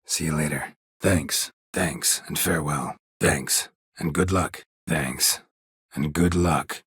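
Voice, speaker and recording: An American man voicing a stoic, world-weary, middle-aged monster hunter in a video game, with a gravelly, husky voice and a deadpan, clipped delivery. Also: extremely low pitch, slow pace, no echo, studio quality, full character voice. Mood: dry, polite. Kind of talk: video game character dialogue lines.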